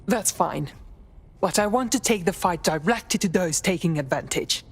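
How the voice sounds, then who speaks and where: An actress playing an assertive, combative resistance recruit in a video game. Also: female voice, on the low side, lively intonation, normal speed, slightly rough.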